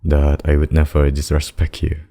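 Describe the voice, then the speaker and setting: A man voicing a possessive demon king and lover in a scripted ASMR roleplay, speaking deliberately and intimately. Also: extremely low pitch, completely flat delivery, vocal fry, mellow. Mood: tender, concerned. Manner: slow, deliberate, intimate addresses with pet names. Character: possessive demon king, menacing abductor turned tender secret lover.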